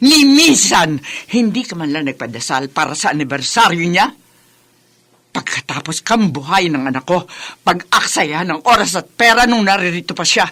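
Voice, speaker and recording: Bitter, sharp-voiced elderly mother-in-law. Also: on the low side, lively intonation, moderate pace. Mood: angry, accusing. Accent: Filipino. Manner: biting, sarcastic, reproachful. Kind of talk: radio drama acting.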